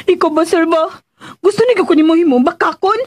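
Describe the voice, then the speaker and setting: An actress playing an excitable, flustered young female household helper in a radio drama, exclaiming and whining. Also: very high-pitched, a little fast, background noise. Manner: shrieking, exclamatory, whiny. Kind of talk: broad comic radio drama acting.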